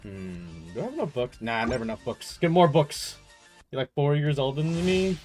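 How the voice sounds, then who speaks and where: A man's voice: medium pitch, big pitch swings, moderate pace, darkish tone. A man in his twenties chatting casually and informally with viewers as he plays, rambling and teasing. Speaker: game livestreamer. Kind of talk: casual chat while gaming, answering viewers. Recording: game music behind the voice. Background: video game music.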